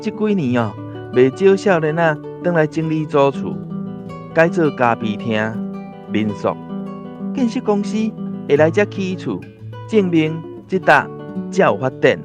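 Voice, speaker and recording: A man's voice: a little high, expressive, unhurried, over music. An actor with a Taiwanese accent playing a talkative, opinionated male villager in a radio drama. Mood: chatty. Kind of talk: conversational radio drama acting.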